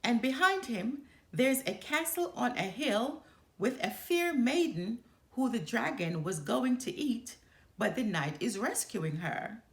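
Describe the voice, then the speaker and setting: A woman's voice: fairly high pitch, expressive, takes it slowly, roomy sound. A woman voicing a boastful, competitive young boy bear cub in a storybook, with a showy, emphatic way of talking. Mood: defiant.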